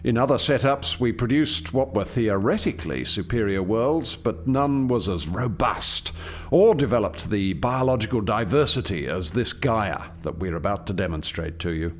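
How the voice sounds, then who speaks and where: A man's voice: lowish voice, lively intonation, moderate pace, slight background noise, natural voice. An actor playing an eager, proud alien scientist who formally explains his experiment in a radio play. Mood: businesslike. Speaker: lead scientist presenting a project. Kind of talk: radio play dialogue, expository.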